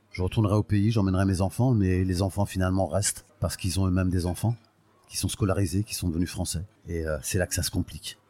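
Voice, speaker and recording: Older man, low voice, unhurried storytelling. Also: dark tone. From Portugal. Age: 60s. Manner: thoughtful, searching for words, many restarts and hesitations; fond, proud of his home region. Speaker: guest, olive oil producer. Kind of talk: podcast interview, personal storytelling.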